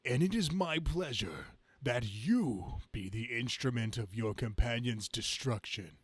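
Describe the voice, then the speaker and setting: A man's voice: mid-range voice, expressive, unhurried, breathy edge, dry recording. A man voicing a gloating, imperious comic-book supervillain with grandiose, theatrical declaiming. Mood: gloating, menacing.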